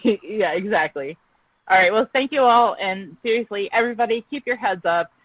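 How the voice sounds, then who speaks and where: A woman's voice: medium pitch, normal speed, no echo, muffled recording. A woman journalist guest on an online show, outspoken and emphatic.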